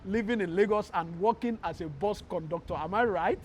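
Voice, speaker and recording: A man's voice: high voice, brisk, a little hiss or hum. A man hosting an interview, introducing the show and asking questions. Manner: frequent soft backchannel murmurs. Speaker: host, interviewer.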